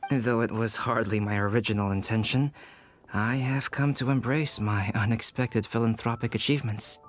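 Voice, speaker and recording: A man plays a reserved young scholar-artist from an anime game. His voice is fairly low, and he speaks formally in a measured, calm way. There is faint noise behind him. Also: natural voice. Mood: calm, reflective. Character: calm, scholarly young male painter-researcher.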